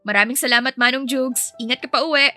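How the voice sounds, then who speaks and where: A woman voicing a bubbly, polite young nanny who is easily flustered, animated and friendly, in an audio story. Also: sits high, fast talker, soft background music, pitched above the performer's own voice. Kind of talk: acted audio story dialogue.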